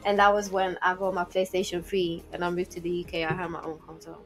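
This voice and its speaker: A woman's voice: on the low side, level tone, fast, fairly bright tone. Young woman chatting over music. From Portugal. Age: 20s. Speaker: podcast guest and game streamer. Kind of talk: casual interview chat. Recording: music playing behind the talk.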